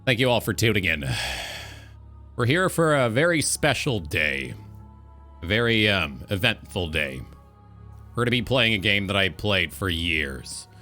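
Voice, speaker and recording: A man's voice: on the low side, animated, unhurried, pauses often, bright, forceful tone. Male talk-stream host, radio-show style, announcer-like emphasis, widely swinging intonation, faint music underneath.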